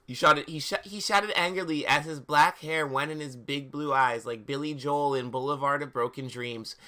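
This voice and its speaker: A male streamer with a bright, forceful voice sitting a little high in pitch. He takes his time, moving between dramatic reading and casual joking commentary. His delivery is slangy and halting, with repeated words and an exasperated edge. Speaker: live streamer.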